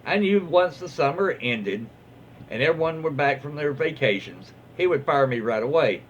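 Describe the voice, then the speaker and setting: A man's voice: fairly high pitch, expressive, normal speed. A man with a Southern US accent reading stories aloud in a casual, folksy, informal way as a host. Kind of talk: casual read-aloud storytelling. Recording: muffled, lo-fi with faint background noise.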